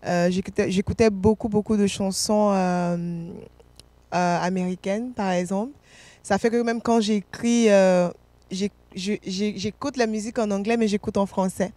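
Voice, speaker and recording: A woman from Côte d'Ivoire chats about her music as an interview guest. She hesitates, fills pauses and laughs easily, her voice sitting at an ordinary middle pitch. The recording is dry, with no room sound. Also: steady tone, dark tone, dry recording. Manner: hesitant, many fillers and restarts, laughs often. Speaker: singer-songwriter guest. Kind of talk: casual interview answers.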